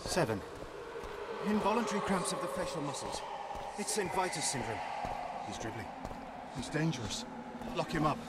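An actor playing a deranged, obsessive doctor in a fantasy video game, reciting in clipped clinical mutters. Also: male voice, fairly high pitch, very animated, normal speed, heavy background noise. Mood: muttering, suspicious.

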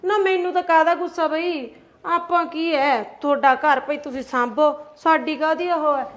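A woman's voice: high-pitched, fairly even intonation, normal speed, lo-fi sound, natural voice. A woman, acting an aggrieved sister-in-law who complains and taunts with rhetorical questions in a family skit. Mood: sulky. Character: aggrieved Punjabi aunt, taunting and sulky.

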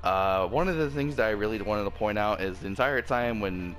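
A man's voice: on the low side, fairly quick, a bit bright. A man with a sore throat reviewing an anime episode in a casual, rambling way, full of fillers and restarts. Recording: faint background music.

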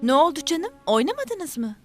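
A patient, affectionate cartoon mother, with a high voice. She guides gently and encouragingly, her intonation moving in lively swings. Faint music sits behind her. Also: moderate pace. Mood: playful, caring. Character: patient, affectionate young mother of a small boy. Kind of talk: children's cartoon dialogue.

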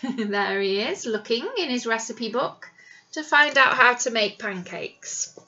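A middle-aged woman, a primary teacher reading a picture book to young children in an animated, explanatory way with questions to them. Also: on the high side, a little slow, highs cut off, slight background noise. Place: small indoor classroom. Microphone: webcam or device at arm's length.

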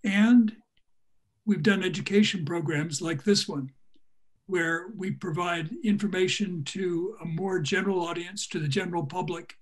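A man in his seventies speaking in a measured, deliberate way as a panelist on a video call. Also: sits high. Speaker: panelist, circus music society representative. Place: small room at home, indoors. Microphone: computer mic on a video call.

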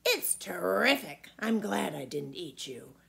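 Lady reading as a gruff old giant guy from a kids book, blustery n bossy, pitch swings around a lot. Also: very animated, unhurried, a bit bright, exaggerated voice. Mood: delighted. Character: gruff old male giant, vain and boisterous.

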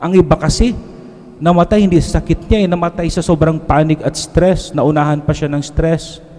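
A middle-aged priest with a Filipino accent, giving a conversational homily at a lectern microphone and mixing Tagalog with English. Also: male voice, a little high, steady tone, brisk, warm tone. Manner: switches between Tagalog and English, conversational preaching. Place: church sanctuary, at a lectern. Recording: long church reverb.

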